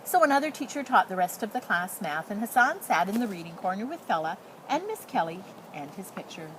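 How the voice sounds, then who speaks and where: A woman's voice: medium pitch, big pitch swings, a little fast, a bit bright. Woman reading a storybook, noisy recording. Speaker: storyteller reading a picture book aloud. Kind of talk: expressive read-aloud narration. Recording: noticeable background noise.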